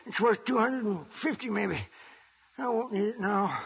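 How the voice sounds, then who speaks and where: An actor playing a folksy, stubbornly hopeful old prospector in a 1950s radio western, with an American rustic drawl. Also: male voice, animated, moderate pace, exaggerated voice. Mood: weary, failing. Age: older. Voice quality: creaky, high-pitched. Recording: dull, noisy old radio recording.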